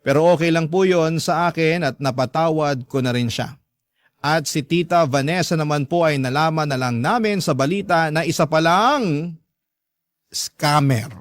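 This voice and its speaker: Male radio narrator: slightly high voice, earnest first-person storytelling, dry studio sound. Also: dry recording. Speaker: narrator reading a listener's letter.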